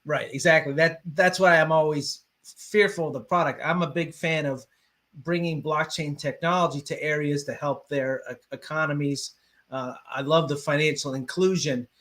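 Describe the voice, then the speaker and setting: Higher-pitched male interviewer, thinking aloud. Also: steady tone, normal speed, fairly bright tone. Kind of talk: conversational interview.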